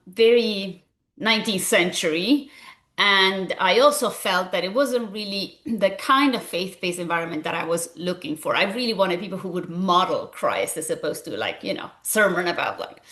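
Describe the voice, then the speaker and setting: Earnest Argentine-accented woman, animated. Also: medium pitch. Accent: Argentine Spanish. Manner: animated, earnest, frequent fillers. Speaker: guest, school founder and physician. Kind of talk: conversational interview.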